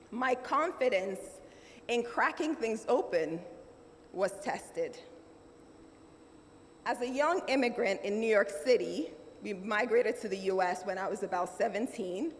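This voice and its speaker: A middle-aged woman with a Nigerian accent addresses a conference crowd in a fairly high, emphatic voice, pausing for effect. Her speech carries the long reverb of a large hall, with faint room noise underneath. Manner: measured, emphatic, with dramatic pauses. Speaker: keynote speaker.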